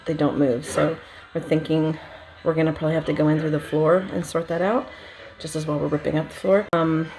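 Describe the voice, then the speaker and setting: A woman's voice: on the low side, darkish tone. A woman casually narrating renovation work as a vlogger, informal and thinking aloud. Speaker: vlogger documenting a bus renovation. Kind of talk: casual vlog narration while working. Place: inside a bus being converted. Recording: noisy on-site recording with radio playing. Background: radio and work noise.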